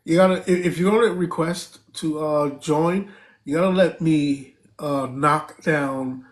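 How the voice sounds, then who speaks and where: Guy on a live stream, kinda high voice, thanking folks, lots of uhs. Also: unhurried. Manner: thanks viewers, many fillers and restarts. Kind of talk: casual live stream chat.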